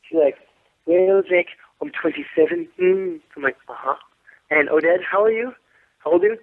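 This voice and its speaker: Guy in his 30s, cussing and bragging through a tinny phone, kinda high voice. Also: normal speed. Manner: profane, boastful, animated storytelling with quoted voices. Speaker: interview guest, actor. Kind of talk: casual phone interview.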